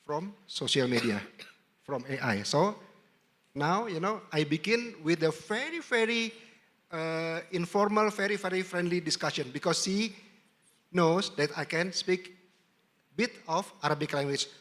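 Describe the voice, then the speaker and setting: A man's voice: a little high, lively intonation, measured pace, a bit bright. A middle-aged man with an Indonesian accent, speaking as a diplomat to a summit audience and repeating his key points for emphasis. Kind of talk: anecdotal talk with audience Q&A.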